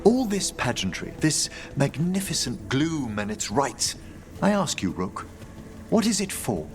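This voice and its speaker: An actor playing a learned, courtly necromancer mage in a video game, formal and musing, with precise theatrical diction. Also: male voice, medium pitch, very animated, unhurried, noisy background. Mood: reverent.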